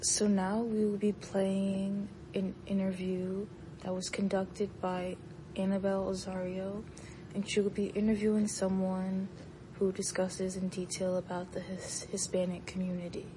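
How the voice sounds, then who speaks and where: A woman's voice: mid-range voice, monotone, unhurried, noisy background. A woman presenting a podcast, reading a scripted introduction.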